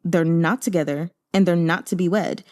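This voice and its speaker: A woman's voice: fairly low pitch, steady tone, moderate pace, darkish tone. A woman hosting a book discussion in a casual, chatty style, speaking informally with slangy, opinionated and emphatic commentary.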